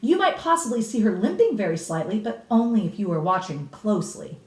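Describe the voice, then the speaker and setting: Woman voicing solemn old grandmother, storybook warning, emphatic drawn-out words, animated intonation, roomy echo, distant mic. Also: mid-range voice, close to an everyday voice. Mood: solemn, knowing. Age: older. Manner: deadly serious, emphatic, drawn-out key words. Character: grave elderly grandmother solemnly warning about witches. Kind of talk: dramatic children's storybook reading.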